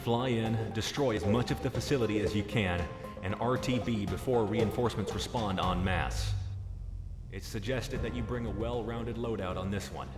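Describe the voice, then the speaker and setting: A man playing a grave, steady military officer giving a scripted video game mission briefing. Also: lowish voice, moderate pace, close to an everyday voice. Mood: businesslike. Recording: echoey hall reverb, loud music behind.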